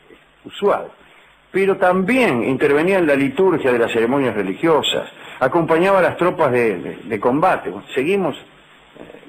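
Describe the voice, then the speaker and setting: A man's voice: mid-range voice, slight background noise, poor audio. A middle-aged man with a Rioplatense Spanish accent, hosting a radio show as a humorous lecture with dry, digressive asides. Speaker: radio host.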